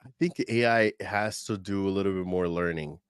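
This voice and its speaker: A man's voice: sits low, moderate pace, clear, resonant tone, no room sound, clean studio sound. A man co-hosting a podcast, talking casually and animatedly with slang and fillers. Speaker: podcast co-host.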